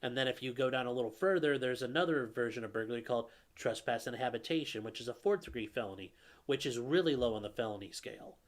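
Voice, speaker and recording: A man with a middle-pitched, slightly nasal yet smooth voice talks through viewer questions on a live stream. He speaks casually, with plenty of fillers and restarts. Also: quick pace. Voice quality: calls his own voice nasally; a viewer calls it velvety. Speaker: lawyer host answering live chat.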